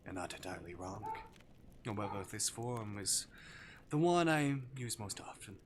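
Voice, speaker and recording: A man's voice: on the low side, lively intonation, normal speed, close to an everyday voice. A man voicing a playful, theatrical arctic demon from a visual novel, with mock-courteous, teasing menace. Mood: smooth menace. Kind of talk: visual novel character voice acting. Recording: clear background noise.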